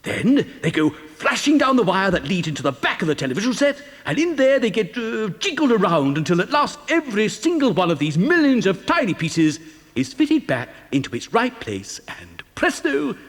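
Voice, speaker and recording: A man playing an eccentric, excitable chocolate factory owner and inventor in a children's audio drama, theatrical, with stammering, hesitant repetitions. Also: high-pitched, moderate pace. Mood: showy, explaining. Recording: long hall-like reverb.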